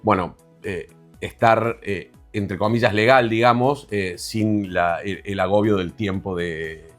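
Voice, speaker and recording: A man's voice: lowish voice, measured pace, soft background music. A man in his forties from Argentina, telling his story as an interview guest with many fillers and restarts. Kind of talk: conversational interview.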